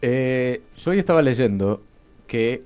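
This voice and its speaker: An Argentine man with an average-pitched voice, co-hosting a casual radio chat. He feels his way through sentences, pausing to find the word. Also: animated, a little mellow, clear, resonant tone. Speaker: radio co-host.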